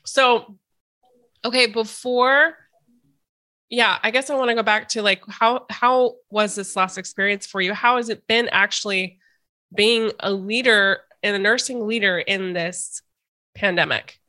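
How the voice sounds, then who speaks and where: A woman's voice: mid-range voice, measured pace, bright, forceful tone. A woman hosting a casual interview podcast, chatty and hesitant with many fillers and restarts, a little stuffy from a cold. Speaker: podcast host.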